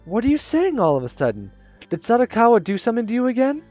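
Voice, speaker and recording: Gamer voicing a caring schoolboy, urgent and insistent, bouncy pitch, dry dull recording. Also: wildly swooping pitch, fast talker, mellow, dry recording, faint music behind. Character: earnest caring teenage schoolboy.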